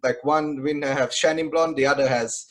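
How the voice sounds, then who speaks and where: A man from Hungary hosting a wine podcast interview, relaxed and chatty, often answering with short backchannels. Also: fairly high pitch, normal speed. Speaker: podcast host. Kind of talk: casual interview talk.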